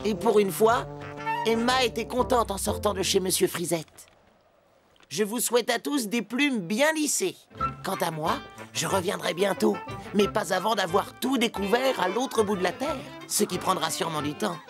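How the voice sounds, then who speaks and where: A woman's voice: medium pitch, moderate pace, music in the background, close to an everyday voice. A woman voicing a curious, cheerful young male penguin in a French children's cartoon, telling his adventures playfully. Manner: expressive, playful storytelling.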